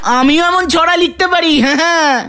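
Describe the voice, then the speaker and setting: A woman's voice: very high-pitched, normal speed, over music. A woman voicing a cheeky, eager, naive child sidekick in a comic cartoon. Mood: eager, defiant.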